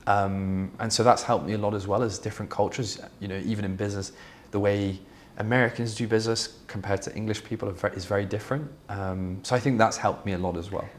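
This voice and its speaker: Low-voiced founder, candid. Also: male voice, steady tone, fast, slight background noise. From Belgium. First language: Flemish. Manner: candid, fluent, many fillers and restarts. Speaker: startup founder, guest. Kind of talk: conversational interview answers.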